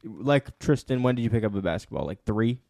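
A young man with a lowish voice co-hosts a podcast. He casually draws his guest out with simple questions. The sound is dry and close. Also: lively intonation, fairly quick, dark tone, no echo. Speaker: podcast co-host. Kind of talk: interview questions.

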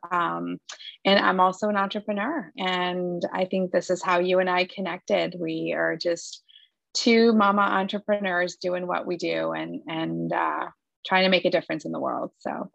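A woman from Lebanon in her thirties speaks earnestly as an interview guest, often stopping to restart her sentences. Her voice is low with a heavy gravelly fry. The sound is dry and a bit muffled. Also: steady tone, moderate pace, fairly bright tone, dry recording. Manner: earnest, many restarts and fillers. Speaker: guest, entrepreneur. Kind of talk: casual interview chat.

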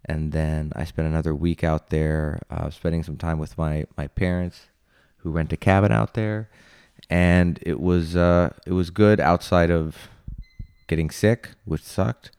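A man with laryngitis, hoarse and low, chatting casually as a podcast co-host. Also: a little slow, no room sound, studio quality. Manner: relaxed, chatty, reads sponsor ad casually.

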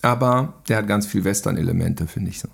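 A man with a husky, gravelly voice at an average pitch. He talks casually as an interview guest. His stories ramble along, often capped with a short tag question. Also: fairly quick. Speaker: guest, film director and cameraman. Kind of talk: casual podcast conversation, anecdotal.

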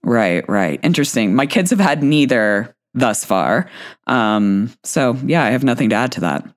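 Hoarse, croaky woman. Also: a bass voice, lively intonation, moderate pace. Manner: dry, teasing, plays along. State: hoarse from a cold. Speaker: podcast co-host.

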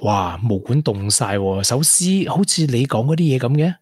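Actor as a husky, caring young Hong Kong man. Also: mid-range voice, big pitch swings, normal speed, darkish tone, no room sound, studio quality. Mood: startled, puzzled. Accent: Hong Kong Cantonese. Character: supportive, down-to-earth young boyfriend, caring and sensible. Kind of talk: Cantonese radio drama acting.

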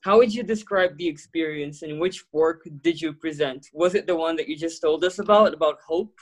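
Young man with a high voice. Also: moderate pace. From Brazil. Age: young adult. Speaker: student interviewer. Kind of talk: casual interview conversation.

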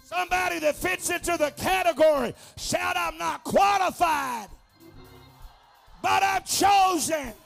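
A man preaching a fervent charismatic sermon, declaiming in repetitive rhythmic phrases with a strained, gravelly delivery. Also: very high voice, measured pace, fairly bright tone, shouting, faint music behind.

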